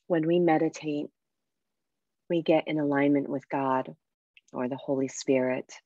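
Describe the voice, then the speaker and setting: A woman guiding a meditation and breathing exercise in a soft, unhurried, soothing way with pauses. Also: low-pitched, fairly even intonation, no room sound, phone-quality sound. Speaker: meditation guide and coach. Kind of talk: guided meditation with breathing instruction.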